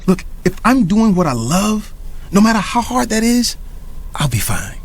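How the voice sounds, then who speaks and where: An earnest middle-aged jazz pianist from an animated film. His husky voice rises high and swoops widely, with faint music underneath. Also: moderate pace, exaggerated voice.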